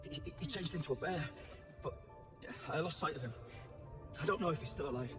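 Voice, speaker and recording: A man playing a shaken, fearful survivor in a video game, halting and stammering. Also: on the high side, animated, over music, close to an everyday voice. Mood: anxious. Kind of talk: video game character acting.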